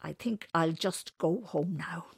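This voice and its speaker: A woman's voice: fairly low pitch, slow, vocal fry, husky, dry recording, studio quality, full character voice. A woman voicing an angry, sulky old man in a storybook, grumbling and snapping. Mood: beaten, bitter.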